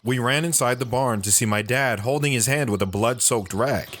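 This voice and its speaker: A man's voice: mid-range voice, normal speed, a bit bright, close to an everyday voice. A mild, self-deprecating storyteller, played by a man in an audio drama. He narrates in the first person in a mid-range male voice. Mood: earnest storytelling. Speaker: storytelling radio show guest.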